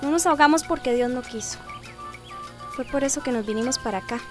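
An actress playing a humble, plain-spoken young housemaid with a Central American accent, in a radio soap opera. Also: female voice, high voice, brisk, music in the background, lightly acted. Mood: matter-of-fact.

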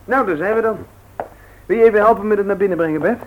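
A man's voice: sits high, fast, a little hiss or hum, natural voice. An actor in a Dutch radio play, voicing an eager young man who hesitates and repeats his words. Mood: pushy, upbeat. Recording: dull, lo-fi old radio recording.

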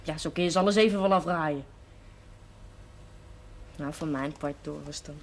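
A woman's voice: deep voice, normal speed. A woman playing a brash, cheerful young pregnant woman in a television period drama, slangy and unashamed. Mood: defiant, frank. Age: young adult. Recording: noisy old television soundtrack.

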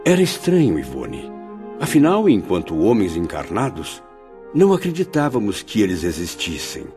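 A man's voice: on the low side, wildly swooping pitch, normal speed, warm tone. An actor playing a reflective spirit who narrates his memories in a radio drama, solemn and formal. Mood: wistful wonder. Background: music under the voice.

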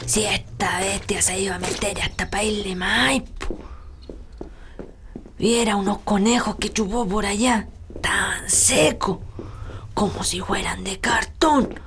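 An actress playing a bossy, superstitious country healer in a radio drama, admonishing and ominous, with a rural Chilean accent. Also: female voice, mid-range voice, slow, noisy background.

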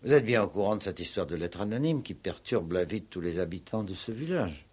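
Casting: probing detective chief, lowish husky voice, courteous and patient, vintage radio police drama. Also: male voice, animated, a little mellow. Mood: polite insistence. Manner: polite, measured, questioning. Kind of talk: 1960s French radio play acting.

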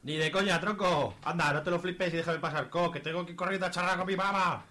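A man's voice: sits high, quick pace, pushed and bright. A man playing a panicky, slangy Aragonese teenage punk. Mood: cocky, dismissive. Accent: Aragonese Spanish. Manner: slangy, blustering, stammering when flustered. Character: cheeky panicky working-class teenage punk boy. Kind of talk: amateur radio soap acting. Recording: clearly noisy recording.

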